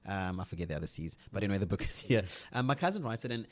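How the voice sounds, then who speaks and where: A South African man in his forties telling his life story as a guest, talking quickly with frequent restarts. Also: on the low side, lively intonation. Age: 40s. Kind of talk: casual interview chat.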